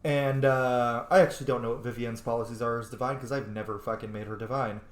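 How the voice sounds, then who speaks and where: A man's voice: mid-range voice, fast talker. A man giving casual, sweary game commentary as the host of a gaming channel. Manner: animated, sweary. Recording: echoey room.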